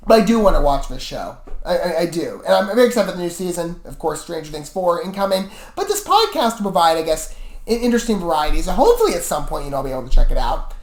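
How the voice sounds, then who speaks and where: A woman reviewer talks casually and at length to her viewers, often correcting herself as she goes. Her intonation is very animated and jumps around, and the room adds a noticeable echo. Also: lowish voice, fast. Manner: animated, rambling, self-correcting. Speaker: reaction video host and reviewer. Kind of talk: casual rambling commentary to viewers. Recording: roomy, echoey room sound.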